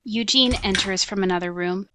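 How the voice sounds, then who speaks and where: A woman with a mid-pitched voice reads scripted stage directions as a narrator. There is loud noise and clicking in the recording. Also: normal speed.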